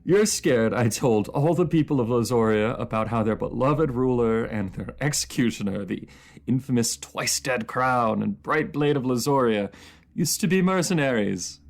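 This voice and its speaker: A man playing a proud, bitter captive ruler and former mercenary in a fantasy audio drama, speaking formally and sometimes haltingly. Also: medium pitch, animated, gravelly. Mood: taunting, incredulous. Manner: halting, stammering when flustered, formal fantasy diction.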